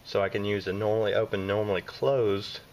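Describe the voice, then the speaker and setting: Southern hvac guy walking through wiring, lowish voice, faint hum behind, lots of uh's. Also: little pitch movement, fairly quick. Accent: Southern American. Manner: plain step-by-step explaining with frequent uh fillers. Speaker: HVAC technician. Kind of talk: hands-on repair walkthrough. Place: indoors at a furnace in a basement or utility space. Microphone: handheld camera filming close-up work.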